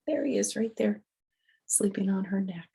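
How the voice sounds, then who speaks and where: An older woman reading a picture book aloud to children, gently explaining with chatty asides and questions to the listener. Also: mid-range voice, normal speed, vocal fry, breathy edge. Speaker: storyteller and host.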